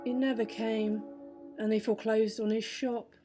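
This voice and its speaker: A woman's voice: on the high side, level tone, normal speed, dark tone, soft background music, lightly acted. An actress playing a heartless, gossipy innkeeper woman in a vintage radio drama, relishing morbid news. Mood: ghoulish relish. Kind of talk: 1940s radio drama acting.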